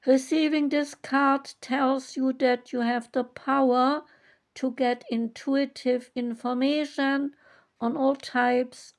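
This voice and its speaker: Woman, high voice, oracle card reader; slow, measured read from the guidebook. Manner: slow, measured reading with long pauses. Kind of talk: reading oracle guidebook text aloud.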